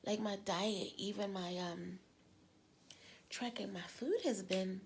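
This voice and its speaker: Husky-voiced woman rambling in a vlog. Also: mid-range voice, slow pace. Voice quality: husky smoker's-like voice. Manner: rambling, repeats words, sighs often. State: coming down with a cold. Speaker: vlogger. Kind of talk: casual personal vlog talk.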